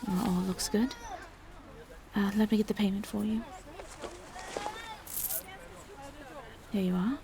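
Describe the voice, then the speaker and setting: Soft, even-toned gentle vampire woman played by an actress. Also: mid-range voice, moderate pace, crowd noise, close to an everyday voice. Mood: polite. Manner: soft-spoken, polite, hesitant. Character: gentle, courteous female vampire traveling with an injured knight. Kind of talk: roleplay audio drama addressed to the listener.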